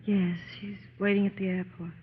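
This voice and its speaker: An actress playing a distraught young mother in an old radio drama, speaking in a tremulous, halting, emotional way. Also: medium pitch, little pitch movement, slight background noise, natural voice. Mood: despairing. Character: anguished young mother fearing for her dying baby. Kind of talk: 1930s-40s radio drama acting. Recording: muffled old radio recording, music behind.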